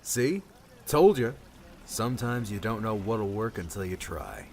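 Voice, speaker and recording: A man's voice: fairly low pitch, lively intonation, unhurried, a little mellow, slight background noise. A man voicing a wry, cocky young male adventurer with a casual, teasing manner in audiobook dialogue. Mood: smug, casual.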